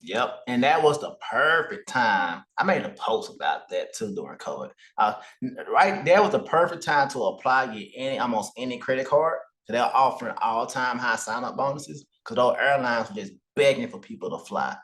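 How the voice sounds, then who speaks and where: A man appearing as a guest on a podcast, explaining credit and travel hacking advice in a calm, measured way. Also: medium pitch. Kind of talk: casual podcast interview.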